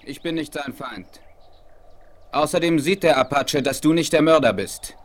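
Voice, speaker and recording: An actor playing a noble, observant Apache chief in a 1970s radio play, speaking in a formal, measured, declamatory way. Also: male voice, on the high side, natural voice. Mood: solemn, assured. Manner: formal, declamatory, measured, addresses others in third person. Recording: noisy 1970s vinyl radio play rip.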